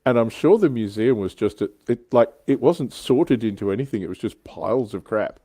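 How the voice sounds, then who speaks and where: A man's voice: medium pitch, expressive, moderate pace, warm tone, no echo, studio quality. A man hosting a casual hobby livestream, chatting informally in an anecdotal, joking way. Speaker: show host.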